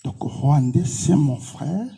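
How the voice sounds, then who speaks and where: Congolese male pastor, interviewed; very mellow, breathy-rough tone, declarative and insistent delivery. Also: on the high side, takes it slowly. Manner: emphatic, repetitive short declarations. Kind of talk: interview answers with preaching.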